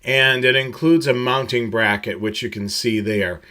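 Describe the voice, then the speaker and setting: A man presenting a product tutorial, explaining gear slide by slide in a halting, steady, instructional way. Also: medium pitch.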